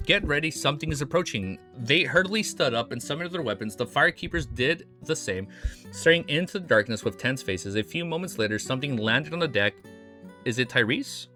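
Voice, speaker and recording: Young guy reading a webnovel, blurting excited reactions, bit hoarse, bright voice, soft guitar behind. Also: mid-range voice, fairly quick. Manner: excitable outbursts and swearing between narration. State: hoarse, losing his voice. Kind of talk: reading a novel aloud with reactions.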